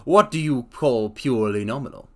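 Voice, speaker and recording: A man's voice: mid-range voice, expressive, moderate pace, darkish tone. A man voicing an earnest middle-aged shopkeeper who tells his own story plainly.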